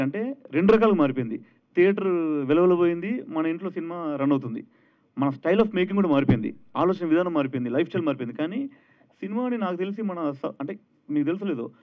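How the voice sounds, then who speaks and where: Fast-talking man, tinny sound. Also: on the high side. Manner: conversational, repeats phrases in lists. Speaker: film director. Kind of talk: press question-and-answer, informal explaining. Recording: tinny, narrow-band event sound.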